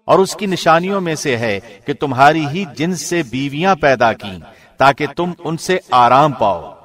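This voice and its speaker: Male radio reader, calm and formal, steady measured scripture translation in a studio. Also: on the high side. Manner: calm, even, formal delivery. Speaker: radio host reading a translation.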